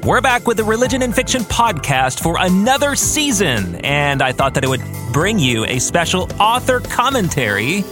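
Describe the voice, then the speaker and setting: A man hosting a podcast solo, speaking in an enthusiastic, deliberate, emphatic way with casual asides. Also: high-pitched, very animated, music in the background. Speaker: podcast host and novelist. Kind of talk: scripted-sounding podcast monologue with casual asides.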